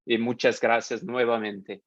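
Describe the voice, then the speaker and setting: A Brazilian man with a Portuguese accent speaks slowly and haltingly, pausing often as he searches for words. He sounds like a guest speaker on a video call. The audio is dull and dry, with no room sound. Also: mid-range voice, level tone, no room sound. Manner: halting, many hesitations, mixes Portuguese into Spanish. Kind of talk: thanking at the close of a webinar.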